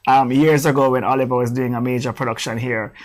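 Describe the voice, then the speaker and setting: A man's voice: mid-range voice, fairly even intonation, fast talker. A middle-aged man from Jamaica with a Jamaican accent, an actor and comedian chatting as a guest on a talk show.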